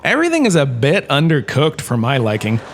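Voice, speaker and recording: A man's voice: medium pitch, huge pitch swings, fairly quick, a little hiss or hum. An actor playing a chatty, self-important male professor in a comic audio drama lecture, with a theatrical, conversational manner. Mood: chatty, pleased. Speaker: lecturer, host of the show.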